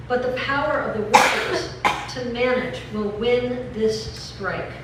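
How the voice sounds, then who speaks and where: Labor orator read by a woman: solemn, deliberate, highish voice, recorded from afar with noticeable noise. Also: far from the mic. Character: resolute labor editorialist proclaiming a general strike. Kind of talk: dramatic reading of a historical labor editorial. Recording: echoey room from afar, clear noise.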